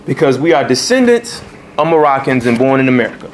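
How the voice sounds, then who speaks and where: A man's voice: mid-range voice, very animated, quick pace, slight background noise. Man teaching a temple class. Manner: call-and-response, repeats points with "all right". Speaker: religious teacher. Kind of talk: temple lesson to a congregation. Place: temple hall.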